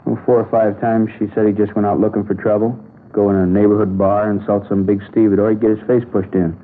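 A man's voice: on the low side, flat delivery, fast talker, lightly acted. An American man playing an offhand, slangy police detective reporting back in a 1950s radio crime drama. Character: casual, dismissive male police detective reporting witness interviews. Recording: tinny old radio recording.

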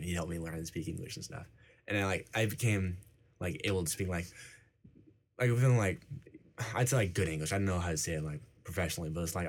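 A young man, whose first language was Icelandic, being interviewed in a casual chat, filling his talk with "like". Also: low-pitched. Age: young adult. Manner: fills talk with "like".

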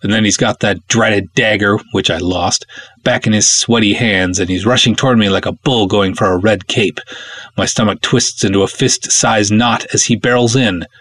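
A man's voice: fairly low pitch, fairly even intonation, normal speed, fairly bright tone, no echo. An American man from Minnesota with a playful, theatrical manner, reading and hosting expressively as a podcast host. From Minnesota, United States.

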